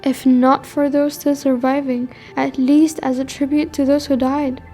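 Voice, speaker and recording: A high, mellow girl's voice acted by a woman for a radio drama. She is a young refugee from war, burdened by guilt yet resolute, with some music underneath. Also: level tone, measured pace. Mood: guilty, resolute. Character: teenage girl refugee fleeing war, guilt-ridden and resolute. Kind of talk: amateur radio drama acting.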